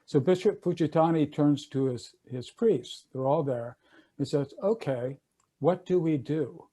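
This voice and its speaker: A man's voice: on the high side, measured pace, dark tone. An older man on a video call, casually recounting legal history with many fillers and restarts. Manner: conversational, loose with fillers and restarts. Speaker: attorney and panelist.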